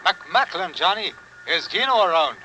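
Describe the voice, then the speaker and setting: An actor playing a brisk, businesslike man reporting in, in a 1950s radio drama. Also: high voice, expressive, pushed and bright, lo-fi sound, a little hiss or hum. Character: brisk male colleague reporting findings over the radio.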